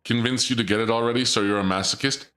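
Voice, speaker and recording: A young man streaming and chatting casually, rambling and joking in a theatrical dark-lord persona. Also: mid-range voice, fairly even intonation, quick pace.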